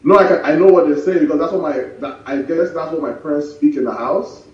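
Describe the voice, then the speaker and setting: A man speaking as a pastor and guest in a casual interview chat, soft-spoken, laughing often and repeating words as he talks. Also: fairly high pitch, quick pace, lo-fi sound. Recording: echoey and distant from mic.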